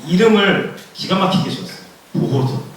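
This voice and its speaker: A man with a cold, his voice hoarse, giving a forum talk and explaining plainly, repeating key words and asking the audience questions. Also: high-pitched, lively intonation, normal speed. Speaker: forum presenter. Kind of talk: public lecture. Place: forum room. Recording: echoey room, far from the mic.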